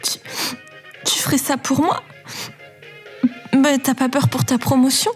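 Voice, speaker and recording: A flustered young shop girl, speaks in a high, halting, emotional voice. It is radio drama acting, with faint music behind. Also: steady tone. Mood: grateful. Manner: halting, panicky. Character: flustered, grateful young female shop clerk.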